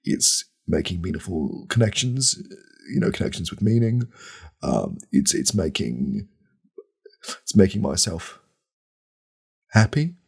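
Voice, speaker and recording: Guy doing an insecure braggy podcaster, deep croaky voice, stumbles over his words. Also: sing-song, full character voice. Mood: fragile, sad. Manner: stammering, halting, repetitive. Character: boastful, insecure, lonely male podcast co-host. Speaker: podcast co-host. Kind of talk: parody podcast talk.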